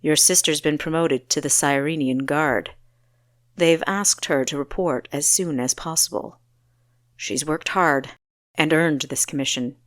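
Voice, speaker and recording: A woman voicing a stern seventeen-year-old male ruler in an audiobook, sharp, grave and authoritative. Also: low voice, measured pace, no room sound, clean studio sound.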